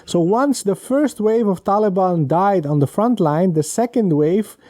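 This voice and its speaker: Mellow, higher-pitched man, dry close sound. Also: animated, no room sound. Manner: halting, deliberate phrasing. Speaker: podcast panelist. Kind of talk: explanatory storytelling.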